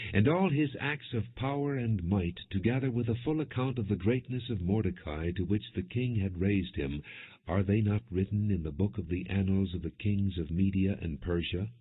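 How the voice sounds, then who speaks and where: A man reading scripture as an audiobook narrator, in a measured, formal, non-dramatized style. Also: low-pitched, husky. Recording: muffled phone-like lo-fi audio.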